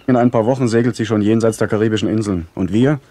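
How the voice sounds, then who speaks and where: An actor playing a polite, earnest young gentleman in a German radio play. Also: fairly low pitch, fast, close to an everyday voice. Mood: wistful.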